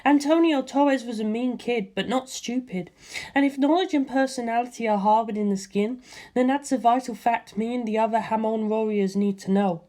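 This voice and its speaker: A woman voicing a commanding female warrior who lays out tactics in a brisk, analytical way. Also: a little high, mellow, pitched above the performer's own voice, lightly acted.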